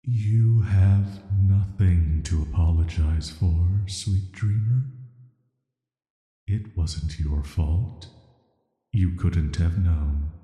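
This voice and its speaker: A man playing an ancient cursed villain in a sleep-aid audio roleplay, speaking in a soft, flat, soothing and intimate way, flirty yet dangerous. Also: deep voice, extremely slow, lo-fi sound. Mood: gentle, intrigued. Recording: echoey, long reverb.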